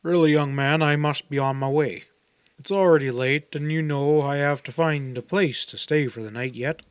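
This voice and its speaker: A man voicing a reserved, weary older gentleman in an audiobook, speaking in a measured, formal way. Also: a little high, fairly even intonation, smooth, no room sound, close to an everyday voice. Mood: impatient. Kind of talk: audiobook character dialogue.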